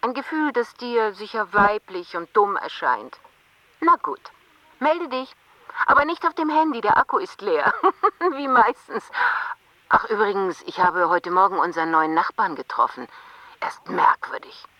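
Eine Schauspielerin spielt in einem Hörspiel eine besorgte, liebevolle Ehefrau, die sanft neckend und drängend aufs Band spricht. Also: female voice, medium pitch, expressive, a little slow, dry recording, lightly acted. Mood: concerned, coaxing. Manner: conversational, coaxing. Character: concerned, affectionate wife, gently teasing. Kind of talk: radio drama, answering-machine message. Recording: dull band-limited answering-machine sound.

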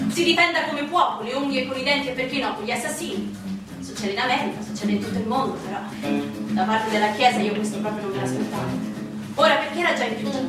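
An actress playing a banker's mature widow in a theatrical interview, guarded, defiant and bitterly loyal to her dead husband. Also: high-pitched. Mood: frank, defensive, then troubled, insistent. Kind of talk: theatrical stage interview dialogue. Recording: very echoey, distant, music under the voice.

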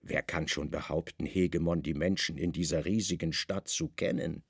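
A secret service chief in a radio drama, guarded and cunning. His voice is husky with some fry, close and dry. He answers calmly, with careful deference. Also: very deep voice, normal speed, dry recording, exaggerated voice. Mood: discreet. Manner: calm, discreet, deferential. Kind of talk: radio play dialogue.

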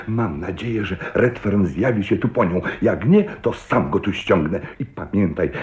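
An actor playing a pompous, bossy older uncle in a radio drama, emphatic and smoothly ingratiating. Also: male voice, deep voice, darkish tone. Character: pompous, bossy old uncle, smugly genial family elder. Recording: dull, roomy old radio recording.

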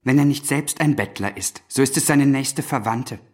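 An actor playing an arrogant, domineering upper-class schoolboy in a German radio drama, with an imperious, insolent way of talking. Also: male voice, medium pitch, moderate pace, husky, lightly acted. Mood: scornful, defiant.

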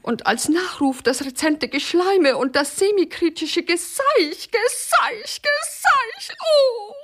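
An actress playing a poet's aggrieved wife in a satirical radio crime drama, speaking in a stilted, solemn, declamatory way. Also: female voice, extremely high pitch, animated, takes it slowly, fairly bright tone, exaggerated voice. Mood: bitter, despairing. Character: stilted, aggrieved wife of a poet, pedantic, theatrical.

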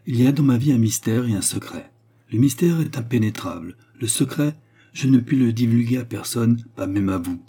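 A man's voice: medium pitch, animated, normal speed, mellow, slightly rough, voice pushed up. A man voicing a repentant former courtesan in storybook dialogue, solemn, secretive and commanding, with formal, emphatic delivery. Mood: mysterious, earnest.

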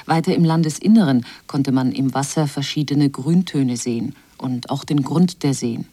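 A woman reading a German voice-over of a traveller's reflective account in a measured way for a radio feature. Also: a bass voice, warm tone, breathy edge, no room sound. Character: woman recalling her fascination with the Arctic, German voice-over. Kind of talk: read voice-over of translated testimony.

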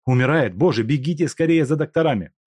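Male reader as indignant clerk, loud protests, raised pitch, dry sound. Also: animated, fast, no echo.

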